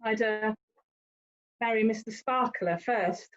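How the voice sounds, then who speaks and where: A woman's voice: on the high side, takes it slowly, lo-fi sound. A woman playing a haughty, worldly young lady in a period drama, with an emphatic, condescending, theatrical delivery. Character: haughty, worldly young English lady, teasing older sister. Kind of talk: stage-style period drama dialogue.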